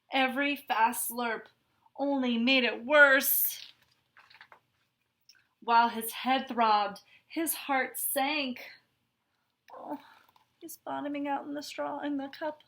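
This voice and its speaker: A woman's voice: high-pitched, fairly even intonation, slow, long pauses, a bit bright. A woman reading a picture book aloud to children, adding chatty, explanatory asides as a teacher would.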